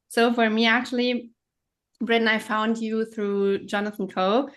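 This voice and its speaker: Reflective woman on a call. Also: medium pitch. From Germany. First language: German. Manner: reflective, searching for words. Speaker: podcast guest. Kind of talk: casual conversation.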